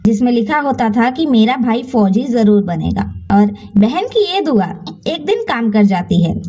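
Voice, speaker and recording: A woman narrating a scripted bedtime story in an expressive, earnest way as the host. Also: on the high side.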